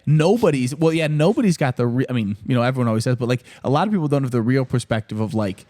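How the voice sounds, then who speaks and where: A man co-hosting a podcast, chatting in a rambling, animated way. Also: mid-range voice, fast talker. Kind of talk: casual podcast chat.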